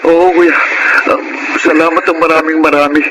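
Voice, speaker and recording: Guy acting as sweet earnest kid brother in college, highish voice, radio drama, noisy audio. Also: little pitch movement, normal speed, natural voice. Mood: grateful, tender. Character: grateful, loving youngest brother, earnest college student.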